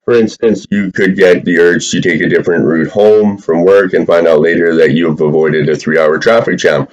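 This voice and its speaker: A man's voice: low voice, steady tone, normal speed, dull audio. A man giving a casual, chatty tarot reading straight to his viewers, with lots of fillers and the odd swear word. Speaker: tarot reader.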